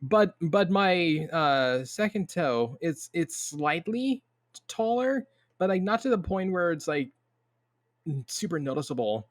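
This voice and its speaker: A man's voice: a little high, expressive, takes it slowly, dry recording. A man talking casually as a panelist on an online podcast call. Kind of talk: casual chat.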